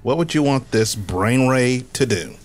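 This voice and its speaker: A man's voice: medium pitch, expressive, unhurried, natural voice. A man playing the nerdy, competitive gadget-making brains of a misfit gang in a comedic sci-fi radio drama. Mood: modest, hesitant.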